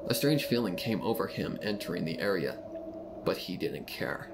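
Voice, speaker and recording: A man's voice: deep voice, animated, normal speed, vocal fry, very noisy. A man reading a story aloud as narrator, in a dramatic scripted narration style. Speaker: fan fiction narrator. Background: music.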